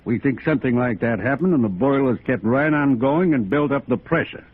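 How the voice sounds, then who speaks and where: A man's voice: mid-range voice, animated, brisk, breathy edge. An American man playing a stubborn, defensive fire chief in a 1950s radio drama, folksy, curt and patronising. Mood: gruff, defensive. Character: gruff, stubborn, defensive small-town male fire chief. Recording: muffled, phone-thin old radio recording.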